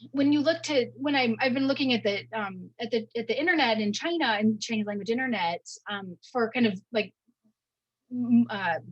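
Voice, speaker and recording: A woman giving an informal academic lecture, speaking haltingly and often checking in with "right?". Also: on the high side, husky, no room sound, muffled recording. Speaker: university professor giving a lecture.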